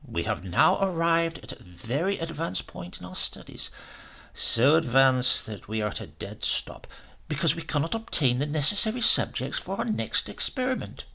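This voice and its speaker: Man acting a grandiose, gravel-edged mad professor. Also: on the high side, sing-song, bright, forceful tone, full character voice. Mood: proud, intent. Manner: grandiose, intense, deliberate. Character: grim, obsessed male Italian professor of crank science. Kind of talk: dramatised horror audiobook.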